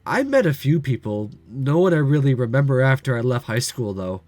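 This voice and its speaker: A man's voice: mid-range voice, very animated, fairly quick, a little mellow, no room sound, close to an everyday voice. A man reading the part of an easygoing, unashamed young man in a casual, plain way.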